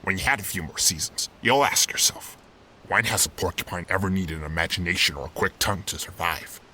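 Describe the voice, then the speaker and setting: Man acting as deep, earnest old animal uncle. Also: a little fast, sharp tone, a little hiss or hum. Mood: patient, sincere. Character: older male animal uncle, earnest, hopeful, weathered mentor. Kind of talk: dramatic fandub dialogue.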